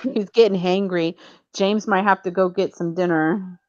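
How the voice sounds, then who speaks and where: A woman's voice: lowish voice, fairly even intonation, moderate pace, a little mellow, dull audio. A woman hosting a livestream, chatting casually while she works on a craft and laughing often. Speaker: livestream host.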